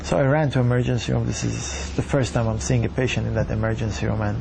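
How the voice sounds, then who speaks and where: A doctor from Iran telling his story in an earnest, measured way. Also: medium pitch, level tone, vocal fry, noisy background. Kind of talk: reflective personal account.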